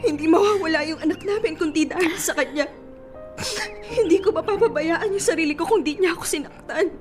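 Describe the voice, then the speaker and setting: A woman's voice: very high-pitched, fairly quick. An actress playing a young woman crying and wailing over her lost baby in a radio drama. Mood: sobbing grief. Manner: wailing, sobbing, frantic. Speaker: grieving mother in a radio drama. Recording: drama scene over loud music.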